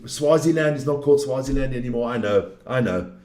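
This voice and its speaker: A man's voice: medium pitch, fairly quick, a little mellow. A man of around fifty, talking off the cuff on a sports livestream in a blunt, opinionated way, often swearing and tagging sentences with "man". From South Africa, later Switzerland. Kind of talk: casual off-the-cuff livestream talk with some race commentary. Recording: roomy, echoing room sound.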